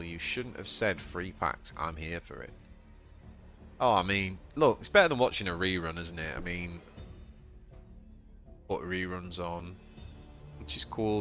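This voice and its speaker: A man with a Yorkshire accent streaming a card game live, chatting to viewers and yelping with excitement at surprises. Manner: excitable, bursts into high yelps at surprises. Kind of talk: live gameplay commentary.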